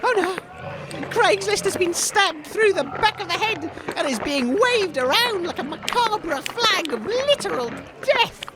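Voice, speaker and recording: A man's voice: very high-pitched, expressive, a little slow, very noisy. A man playing an earnest, easily horrified radio documentarian, florid and melodramatic, in a comedy audio drama. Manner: melodramatic, wailing, florid wording.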